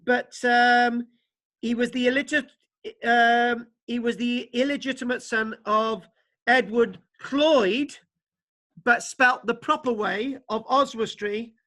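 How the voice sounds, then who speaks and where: High bright voice, guy running a video call lecture, stumbles over his words a lot. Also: fairly even intonation, slow pace. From Wales. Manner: stammering, repeats words, many fillers. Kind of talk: online lecture with discussion.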